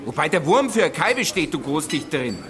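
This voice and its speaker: An actor with a Bavarian accent playing a stubborn, sarcastic bridegroom arguing in a dialect radio play. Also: male voice, a little high, sing-song, a little fast, a crowd in the background. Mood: stubborn, insistent.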